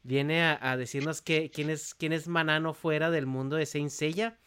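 A man's voice: on the high side, steady tone, moderate pace, fairly bright tone, smooth. A man hosting a podcast, introducing things in an informal, friendly, conversational way. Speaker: podcast host.

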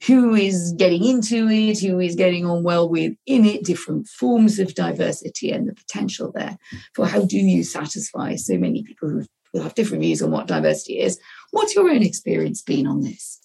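Woman panel moderator, husky and low, brisk and in charge. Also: very animated, warm tone. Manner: brisk, steering the conversation. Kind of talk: panel discussion.

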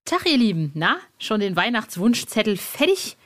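A woman presenting a podcast in a chatty, excited, informal way, sprinkling in slang and English phrases. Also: mid-range voice, moderate pace, noisy background. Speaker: podcast host.

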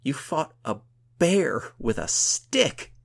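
A man voicing an exuberant, teasing woman adventurer in an audiobook, exclaiming and declaiming in a gravelly voice. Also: mid-range voice, expressive, measured pace, voice pushed up. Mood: amazed, elated. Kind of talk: audiobook character dialogue.

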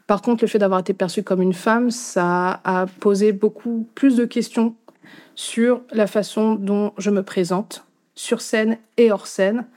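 A woman's voice: mid-range voice, steady tone, measured pace, darkish tone. A woman musician being interviewed, speaking thoughtfully and hesitantly with many fillers. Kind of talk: casual interview talk.

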